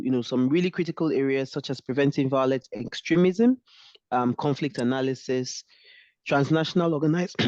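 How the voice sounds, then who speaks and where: A man moderating an online event, introducing a guest speaker in a halting way with many fillers over a video call. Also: medium pitch, a little mellow, dry recording. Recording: dull dry call audio.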